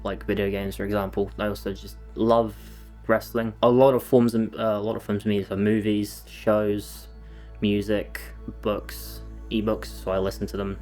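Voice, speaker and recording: A young man of eighteen with a fairly low, flat voice, speaking earnestly and steadily as he explains things. Faint music sits under this documentary interview. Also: moderate pace. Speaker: interviewee, college student.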